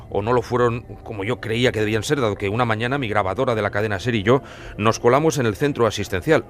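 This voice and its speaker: A male journalist giving scripted true-crime narration in a measured, formal storytelling manner. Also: lowish voice.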